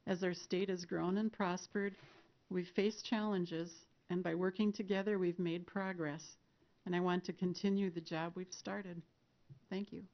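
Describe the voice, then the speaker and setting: Woman representative at a forum, lower voice, calm, dull sound. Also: a little slow. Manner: gracious, steady. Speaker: state legislator. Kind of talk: candidate statement and answers. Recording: dull lo-fi broadcast audio.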